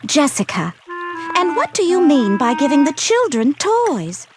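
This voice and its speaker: A prim, earnest young lady speaks in a high, lively voice. Her delivery is slow and careful, as in a cartoon game with music behind. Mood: prim scolding. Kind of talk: animated game cutscene acting.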